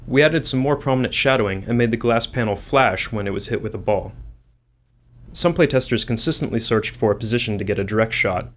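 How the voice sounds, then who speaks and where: A man's voice: on the low side, a little fast, fairly bright tone. A man who works as a game developer, reading scripted commentary on design.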